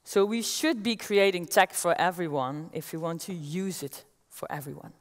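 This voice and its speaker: A woman in her forties with a Dutch accent, giving an energetic conference keynote on a stage, talking through a headset mic. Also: on the low side, normal speed. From Netherlands. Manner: energetic, gestures as she walks the stage. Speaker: keynote speaker. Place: large auditorium stage with audience. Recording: long reverb of a large hall.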